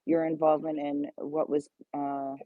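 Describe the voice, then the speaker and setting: A woman hosting a live audio chat, asking halting questions full of fillers. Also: sits low, level tone, no room sound. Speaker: host. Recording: dull dry lo-fi audio.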